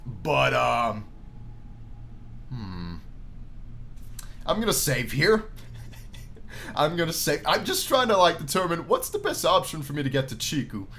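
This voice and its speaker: A man giving expressive let's play commentary, reading visual novel text aloud and adding his own drawn-out, enthusiastic asides. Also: fairly high pitch, creaky. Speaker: gaming YouTuber. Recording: noticeable background noise.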